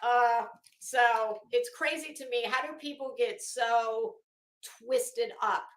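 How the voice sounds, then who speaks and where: An American woman hosting a livestream, chatting casually with viewers in a sarcastic, blunt, sweary and animated way. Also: on the high side, takes it slowly. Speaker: livestream host. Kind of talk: casual chat with live viewers. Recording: roomy, echoey sound.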